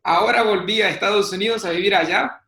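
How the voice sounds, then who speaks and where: A young man with a high, bright voice speaks fluently. His voice rings in an echoey room. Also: quick pace. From El Salvador. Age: young adult. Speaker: student guest.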